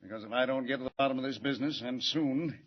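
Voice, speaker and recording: A man playing a harried, husky police commissioner. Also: mid-range voice, fast talker, close to an everyday voice. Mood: worried. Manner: gruff, worried, urgent. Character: harried middle-aged male police commissioner, anxious. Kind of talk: 1940s radio acting. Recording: muffled vintage radio broadcast.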